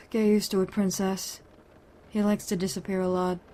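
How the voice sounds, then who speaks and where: A woman's voice: medium pitch, little pitch movement, a little slow, darkish tone, close to an everyday voice, poor audio. A woman playing a wry, teasing, tough-talking woman in a fan audio drama, casual and blunt.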